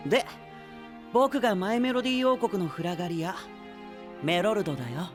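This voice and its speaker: A man's voice: sits high, lively intonation, moderate pace, over music. A man playing a cocky, playful pretty-boy knight in an anime audio drama, breezy and teasing with a condescending streak.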